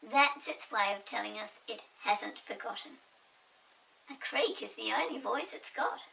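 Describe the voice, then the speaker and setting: A woman voicing a small, lively, chirpy pixie in an excitable storybook reading. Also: fairly high pitch, measured pace, creaky, pitched above the performer's own voice, exaggerated voice. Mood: reassuring, cheerful. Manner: emphatic, excitable. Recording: distant mic with hiss.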